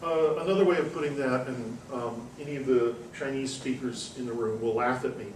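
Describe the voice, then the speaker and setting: Professor type lecturing to a seminar class, mid-pitched, pauses and restarts, recorded from the back of an echoey room. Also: male voice, far from the mic, noisy background. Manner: discursive, with frequent ums and restarts. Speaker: university lecturer. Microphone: far room recording.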